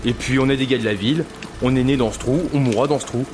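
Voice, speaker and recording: A man's voice: medium pitch, fast, music in the background, natural voice. An actor playing a familiar, teasing working-class local man in an audio drama, speaking in slang. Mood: frank, defiant. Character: chatty working-class local townsman, mocking but helpful. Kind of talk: French audio drama dialogue.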